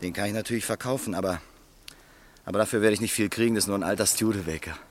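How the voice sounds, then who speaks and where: Ein Schauspieler spielt einen erleichterten Hochstapler. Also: male voice, lowish voice, racing, a little hiss or hum, natural voice. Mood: relieved. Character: impostor freed of a false identity.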